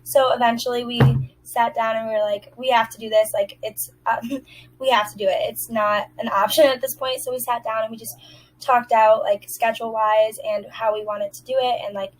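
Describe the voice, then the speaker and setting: Young female job applicant on an interview call: fairly high voice, courteous, conversational, casually worded answers. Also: level tone, normal speed, poor audio. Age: young adult.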